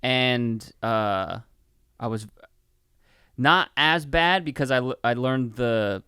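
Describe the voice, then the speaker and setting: A man's voice: mid-range voice, animated, slow, pushed and bright, clean tone, no echo, studio quality. A man hosting a movie podcast in casual chat, joking and swearing, with the odd mock-announcer flourish. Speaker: podcast host.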